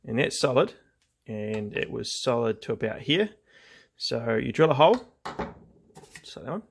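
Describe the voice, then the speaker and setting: A man's voice: medium pitch, very animated, normal speed, gravelly, breathy edge. A man giving a casual, hands-on craft demonstration, explaining each step in an informal, laid-back way. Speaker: craft tutorial host.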